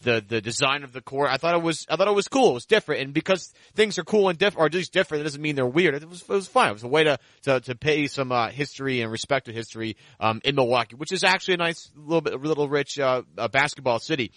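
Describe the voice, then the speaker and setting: A man's voice: fairly high pitch, quick pace, few pauses, fairly bright tone, no room sound. A man hosting a sports podcast, talking in a conversational, wordy way as he introduces and interviews. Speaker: podcast host.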